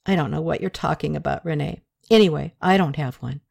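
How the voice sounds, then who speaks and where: A woman voicing a patient young woman who answers softly and with mild sarcasm. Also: sits low, fairly quick, vocal fry, heavily acted.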